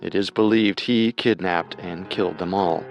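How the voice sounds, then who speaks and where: A man co-hosting and narrating a scripted true-crime show in a measured, dramatic storytelling manner. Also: low voice, steady tone, vocal fry, soft background music. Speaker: podcast co-host and narrator.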